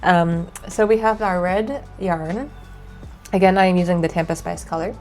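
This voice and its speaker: A woman's voice: fairly low pitch, normal speed, soft background music. Stuffy-nosed woman teaching knitting. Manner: relaxed, many ums and uhs. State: stuffy from a cold. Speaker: knitting instructor. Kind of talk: casual tutorial explanation.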